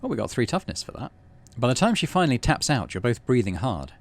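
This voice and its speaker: A man's voice: mid-range voice, sing-song, fast talker. A middle-aged man playing through a game, chatting casually and reading its narration aloud. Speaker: gaming channel host, player.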